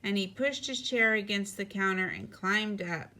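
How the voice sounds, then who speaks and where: A woman narrating a children's picture book expressively, with deliberate phrasing and emphatic sound words. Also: mid-range voice, pushed and bright, a little hiss or hum. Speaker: teacher reading a picture book.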